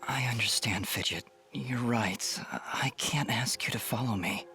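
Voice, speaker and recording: A man's voice: mid-range voice, unhurried, pushed and bright, soft background music. An actor playing a troubled young swordsman hero in a video game, solemn and intense. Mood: gentle, unsure. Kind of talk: dramatic video game acting.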